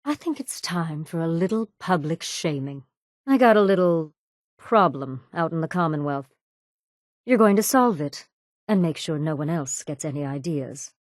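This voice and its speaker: Low, cool, ruthless raider woman. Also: lively intonation, normal speed, no echo, studio quality. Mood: cold menace. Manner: cool, sardonic, mockingly threatening. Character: ruthless, sadistic female raider gang boss, coolly menacing. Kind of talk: video game character dialogue.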